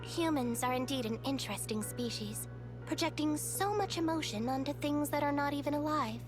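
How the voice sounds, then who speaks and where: A woman voicing a wise, detached police investigation android in a video game, speaking in a measured, formal, matter-of-fact way. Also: high-pitched, music in the background, lightly acted. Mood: detached, philosophical.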